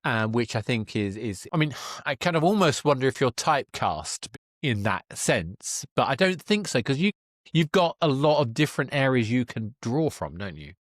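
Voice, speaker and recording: A man's voice: medium pitch, sing-song, moderate pace, dry recording. Man interviewing in a very dry recording. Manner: tentative, roundabout questioning. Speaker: podcast host, interviewer. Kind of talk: conversational interview.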